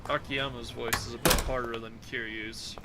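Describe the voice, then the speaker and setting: A man with a naturally deep voice, streaming and chatting casually about a game. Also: flat delivery, moderate pace, gravelly, far from the mic, heavy background noise.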